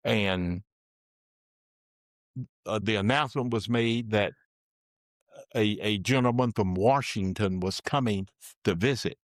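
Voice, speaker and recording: An older man being interviewed, slowly and plainly telling the story of his working life and dropping his voice for asides. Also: fairly low pitch, no room sound. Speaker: interviewee recounting his career. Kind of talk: slow, deliberate personal storytelling.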